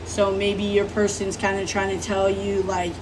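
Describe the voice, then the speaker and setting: Sick woman with a rough, hoarse voice. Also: mid-range voice, monotone, normal speed, distant mic, slight background noise, rough recording. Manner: rambling, apologetic, many fillers. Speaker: tarot reader. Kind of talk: casual tarot reading monologue.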